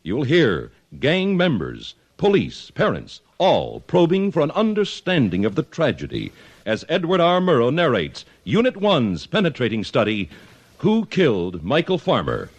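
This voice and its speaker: A man's voice: mid-range voice, wildly swooping pitch, unhurried. An American man reading scripted announcements in 1950s radio style. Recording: old 1950s radio broadcast recording.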